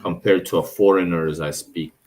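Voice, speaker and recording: A travel vlogger with a Russian accent talks in a very low voice. He rambles in a loose, casual way, often stopping to fix his wording. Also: fairly even intonation, moderate pace, a little mellow. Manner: rambling, with restarts and self-corrections. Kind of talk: casual talk over his own video footage.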